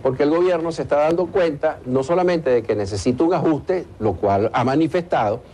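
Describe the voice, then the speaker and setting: A man, giving hesitant, deliberate analysis in a television interview. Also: fairly high pitch, dark tone, breathy edge. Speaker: economic analyst being interviewed. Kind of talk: live television news interview. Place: TV studio in Caracas.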